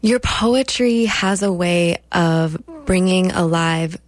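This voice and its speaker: A woman radio host interviewing a guest in a deliberate, appreciative way. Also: fairly low pitch, no echo.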